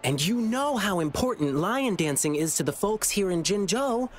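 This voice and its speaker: A man's voice: high-pitched, lively intonation, normal speed. An actor voicing a cheerful, eager young lion-eared boy performer in a video game, friendly and bubbly. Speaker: lion dance troupe performer.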